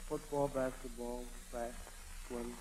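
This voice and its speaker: A man's voice: medium pitch, level tone, slow pace, dark tone, poor audio. A man appears as an amateur contestant in a radio interview from the 1930s. He answers briefly and with reserve, and the recording has the sound of an old broadcast.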